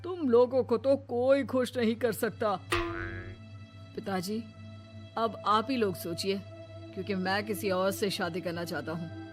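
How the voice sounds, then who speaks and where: A woman's voice: mid-range voice, a little slow, long pauses, over music, natural voice. A woman voicing a selfish, impatient young married man in a family cartoon, whining and dismissive. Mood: defiant.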